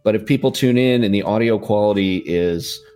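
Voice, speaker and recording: A man chatting on a podcast, giving earnest, relaxed advice to new podcasters over a USB mic. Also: fairly low pitch, brisk, smooth. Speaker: podcast guest. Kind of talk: casual podcast chat. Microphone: USB condenser mic.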